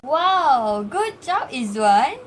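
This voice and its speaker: A Malaysian woman plays a teacher with a fairly high voice. She explains and questions in an encouraging manner. There is some background noise on the amateur recording. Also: sing-song, very slow. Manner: encouraging, frequently praising and prompting. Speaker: teacher in a student-made classroom skit. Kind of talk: acted classroom lesson, explaining and questioning.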